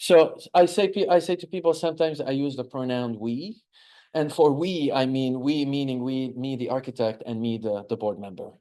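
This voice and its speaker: A man with a Lebanese accent speaks as an architect giving an informal lecture. His voice is mellow and relaxed, at an average male pitch. He explains conversationally, often checking in with a short questioning tag. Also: fast talker.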